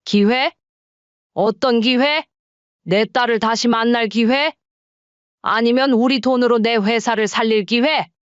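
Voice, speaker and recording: A woman's voice: fairly high pitch, unhurried, pauses often, clean tone, no room sound, lo-fi sound, close to an everyday voice. A woman doing a firm, unbending mother-in-law. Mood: cold. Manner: firm, blunt.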